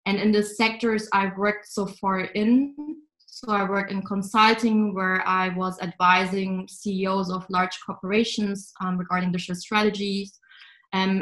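A woman in her twenties from Germany, answering interview questions in a measured way with frequent ums, on a laptop webcam at home. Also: mid-range voice, little pitch movement, echoey room, rough recording. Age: 20s. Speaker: interview guest, investor. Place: home room by a window.

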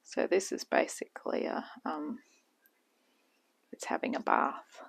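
A middle-aged woman, chatting casually while she cooks in her home kitchen, often trailing off into muttering. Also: unhurried. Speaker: home cooking channel host. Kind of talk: casual cooking tutorial. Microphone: camera on the counter. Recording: noisy home kitchen recording.

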